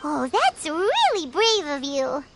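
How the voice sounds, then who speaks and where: Brief: tiny game companion creature, very high, wildly swooping voice, unhurried, loud score behind. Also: female voice, full character voice. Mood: curious. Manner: squeaky, bouncy and animated. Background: game soundtrack music.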